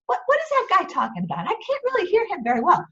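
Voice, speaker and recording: A woman voicing a confused ship captain who can barely hear and asks quick, flustered questions. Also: very high-pitched, huge pitch swings, fairly bright tone, pitched above the performer's own voice, exaggerated voice. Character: confused, hard-of-hearing male ship captain. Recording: distant, dull lo-fi recording.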